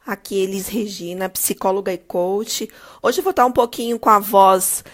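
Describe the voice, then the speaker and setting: Hoarse Brazilian woman hosting a live stream. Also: medium pitch, normal speed. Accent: Brazilian Portuguese. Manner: upbeat, greets viewers by name, repeats catchphrases. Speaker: host.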